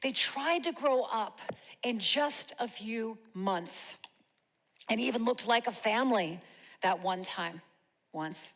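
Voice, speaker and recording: Slow, dramatic woman in a reverberant hall. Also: on the high side, a bit bright. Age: 50s. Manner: dramatic, deliberate storytelling delivery with pauses. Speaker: church monologue performer. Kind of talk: rehearsed spoken-word monologue in rhyme.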